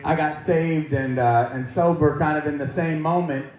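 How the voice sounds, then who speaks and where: A man's voice: a little high, steady tone, moderate pace, a loud crowd. An American man in his forties giving an informal personal testimony to a live audience, with a joking, self-deprecating manner. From Maine, United States. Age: 40s. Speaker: guest speaker giving testimony at church. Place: large echoey indoor room, speaking to a gathering. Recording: echoey room, distant mic, faint noise.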